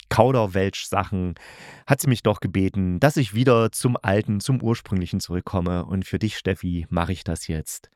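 Guy with a lowish voice doing a chatty podcast review, keen and rambly, very dry recording. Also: darkish tone, no room sound. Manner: enthusiastic, rambling, searching for words. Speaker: podcast host. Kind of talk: casual radio-drama review monologue.

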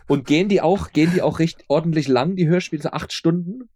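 A man's voice: on the high side, fast talker, darkish tone, dry recording, studio quality. A man being interviewed as a radio play maker, chatting casually and often repeating words for emphasis.

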